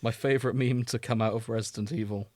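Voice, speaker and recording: A man's voice: mid-range voice, steady tone, fast talker, a little mellow, no room sound. A man co-hosting a podcast in casual, comedic chat, with a wry, dry sense of humour. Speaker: podcast co-host. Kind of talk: casual comedic chat about fan fiction.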